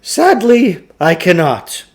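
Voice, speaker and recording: Guy doing a pompous oddball shop owner from a kids book, high voice, swoops around, grandiose showman. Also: big pitch swings, takes it slowly, darkish tone, exaggerated voice. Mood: pompous, solemn. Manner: pompous, theatrical. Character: eccentric male occult shopkeeper, grandiose, boastful showman. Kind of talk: acted storybook dialogue.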